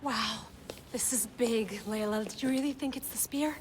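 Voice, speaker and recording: Woman acting a high-voiced, cautious assistant. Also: normal speed, natural voice. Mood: impressed, doubtful. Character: friendly, cautious woman assistant on a modern expedition. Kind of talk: naturalistic game cinematic dialogue. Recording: faint background noise.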